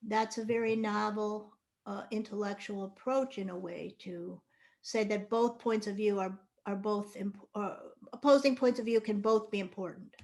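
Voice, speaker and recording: A woman's voice: mid-range voice, fairly even intonation, moderate pace, darkish tone. Hesitant woman in a class. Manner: hesitant, with fillers. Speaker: class participant.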